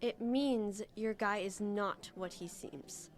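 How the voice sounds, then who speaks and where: A woman's voice: mid-range voice, slow pace, close to an everyday voice. An actress playing a suspicious, insistent woman in a radio drama, speaking conversationally with pressing emphasis. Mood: suspicious, urgent. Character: suspicious, insistent woman digging into a murder case.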